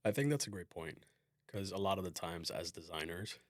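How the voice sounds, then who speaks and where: A man hosting a casual work podcast as a design manager, explaining things in a relaxed way with frequent fillers. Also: sits low, fairly quick, no echo, studio quality.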